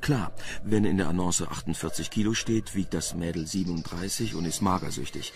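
Male reporter, teasing and wry, gravelly, cheeky, radio play dialogue. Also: deep voice, brisk. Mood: flirtatious, teasing. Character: flirtatious, cheeky male reporter, chatty and smug. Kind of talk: German radio crime drama acting.

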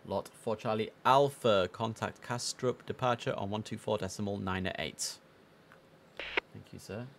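A young man with a headset, streaming an air traffic control game, chatting casually and reading out radio calls in a relaxed way that repeats words for emphasis. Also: on the low side, unhurried, gravelly.

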